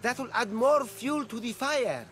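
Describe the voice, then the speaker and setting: A man's voice: very high voice, unhurried, fairly bright tone, a little hiss or hum. A middle-aged man playing an earnest, cautious ancient Greek street vendor in a video game, speaking in a cautioning manner. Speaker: non-player game character. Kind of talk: scripted game dialogue.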